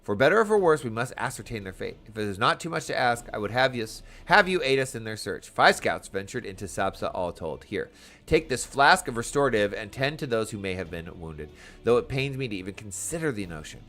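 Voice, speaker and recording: Man acting earnest, formal military commander, lively delivery. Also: lowish voice, fairly quick, full character voice. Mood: grave, worried. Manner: formal, archaic military diction. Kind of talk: reading game dialogue aloud in character.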